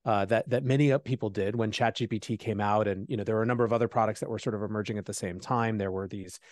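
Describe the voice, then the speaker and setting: Guest guy w a lowish voice, rattles along fast, dry close mic. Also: steady tone, a little mellow, dry recording. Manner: rapid, fluent with frequent "you know" fillers. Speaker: podcast guest, show host. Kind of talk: conversational interview answer.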